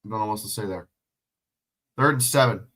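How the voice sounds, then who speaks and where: A man's voice: lowish voice, fairly quick, bright, forceful tone. A sick, sniffly man doing casual solo play-by-play of a football game, swearing and chatting with viewers. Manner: swearing, chatty, answering chat questions. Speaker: livestream play-by-play commentator.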